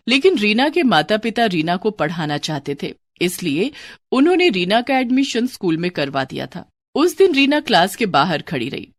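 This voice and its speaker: A woman's voice: mid-range voice, no echo. A woman storyteller reading scripted narration of a moral bedtime story.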